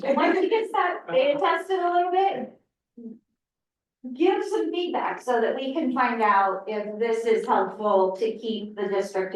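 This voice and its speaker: A woman speaking in a school board meeting, informal and joking, sharing an update. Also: on the high side. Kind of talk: meeting remarks. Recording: very echoey room, distant mic, faint noise.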